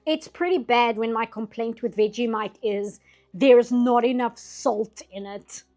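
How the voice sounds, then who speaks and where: Chatty woman streamer with soft music. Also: on the high side, measured pace. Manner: chatty, laughs often, rambling asides. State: voice getting hoarse, late at night. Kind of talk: casual chat while drawing.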